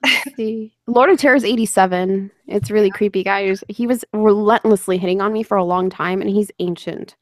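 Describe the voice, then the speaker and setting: A woman's voice: mid-range voice, normal speed, dry recording. A young woman in her twenties hosting a casual group chat, teasing her guests and laughing a lot. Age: 20s. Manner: teasing, laughs often, sarcastic. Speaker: host.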